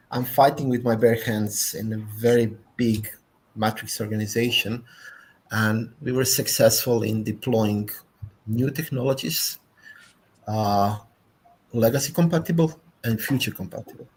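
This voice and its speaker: A man's voice: mid-range voice, measured pace, darkish tone, low-quality recording. A man with a Croatian accent, a native Croatian speaker, speaking as a panelist in a technical discussion, emphatic and often ending points with "yeah?".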